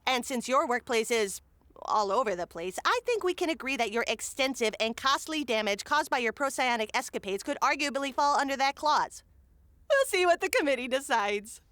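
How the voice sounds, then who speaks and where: A high, theatrical female voice acted by a woman plays a scheming small-town mayor. She is breezy and officious, gracious only on the surface. It is comedy radio drama, recorded dry and close. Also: lively intonation, normal speed, fairly bright tone, dry recording. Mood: coolly officious. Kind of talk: comedy audio drama acting.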